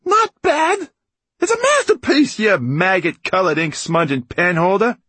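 A man's voice: low voice, unhurried, slightly rough, dry recording. A man voicing a vain, irritable old male poet in an audiobook story, lofty and theatrical. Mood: outraged. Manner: pompous, theatrical, easily exasperated.

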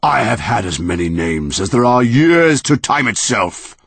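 A man voicing a booming ancient tree monster. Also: sing-song, creaky, a bit bright, slightly rough, dry recording, full character voice. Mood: roaring. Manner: booming, slow declaiming. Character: ancient towering tree monster, male, grand and menacing.

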